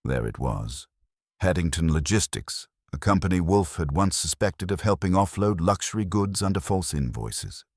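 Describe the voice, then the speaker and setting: Very deep-voiced man narrating detective fiction. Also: animated, normal speed, a little mellow, no echo, studio quality. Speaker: audiobook narrator. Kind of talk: scripted narration of a vintage detective story.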